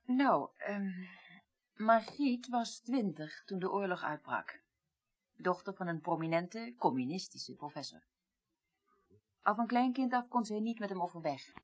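An actress playing a weary, well-read middle-aged woman in a radio play, recounting the past deliberately and articulately. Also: medium pitch, animated. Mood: reflective. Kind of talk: 1980s radio play acting.

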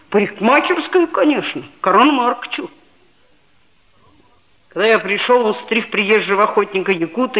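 A woman's voice: on the high side, phone-quality sound, natural voice. An actress playing an earnest, proud seventh-grade schoolboy who narrates his own story in a 1960s radio play. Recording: thin band-limited old radio recording, music behind.

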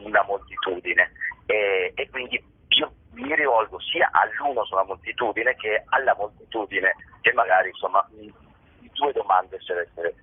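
Man, informal interview talk, hesitant word repetitions, slightly deep voice, thin telephone sound. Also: slightly rough. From Italy, province of Vicenza. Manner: hesitant, repeats words as he searches for phrasing. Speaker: actor and playwright being interviewed. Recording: muffled, narrow telephone-line sound.

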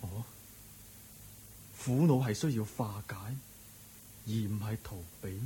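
A man's voice: fairly low pitch, slow, dark tone, slight background noise. A man playing a troubled younger family man in a radio drama, plaintive and earnest, with a Hong Kong Cantonese accent. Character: A-Wang, anguished younger family man seeking monkhood.

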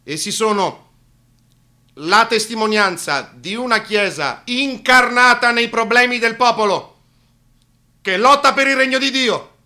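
An actor playing a compassionate archbishop preaching in a radio play, slow and solemn, swelling into impassioned cries. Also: male voice, very high-pitched, bright, forceful tone, pitched above the performer's own voice, full character voice. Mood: fervent. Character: martyred Latin American archbishop preaching, solemn, fervent, compassionate. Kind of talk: radio theatre sermon.

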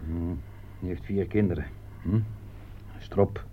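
A man's voice: low voice, fairly even intonation, takes it slowly, husky, exaggerated voice. An actor playing a down-to-earth, wry male army sergeant in a radio play. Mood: grimly wry. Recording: dull lo-fi old radio play.

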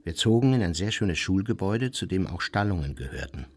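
Radio play: male memoir narrator, deep register, formal, measured, quietly proud and self-pitying. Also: sing-song, exaggerated voice. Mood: sober recollection. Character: self-pitying, proud man recounting his youth.

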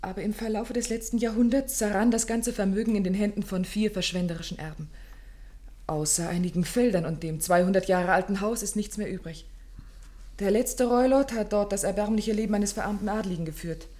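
A woman's voice: medium pitch, brisk, dark tone, natural voice. A woman acting as a distressed young lady of good family, with a mid-range voice. Her phrasing is formal and dramatic, with an anxious, pleading edge. It is classic radio-play acting. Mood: grave recounting.

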